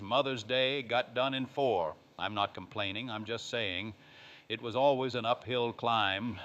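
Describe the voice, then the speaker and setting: Man playing a low-voiced, dry 1950s dad. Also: sharp tone. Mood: wry grumbling. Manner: dry, wry, plain-spoken. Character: folksy, grumbling 1950s radio sitcom dad. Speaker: show host. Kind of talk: scripted narration.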